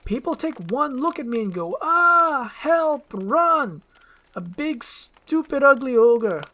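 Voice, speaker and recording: A performer voicing a gruff, grumpy, defensive male ogre in an acted screenplay reading. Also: high voice, sing-song, takes it slowly, pushed and bright, pitched above the performer's own voice, full character voice. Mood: bitter.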